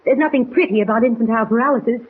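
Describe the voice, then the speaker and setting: A woman's voice: on the high side, racing, natural voice. An actress playing a spirited young woman reporter in a 1940s radio drama, pleading earnestly and urgently. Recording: tinny, noisy 1940s radio recording.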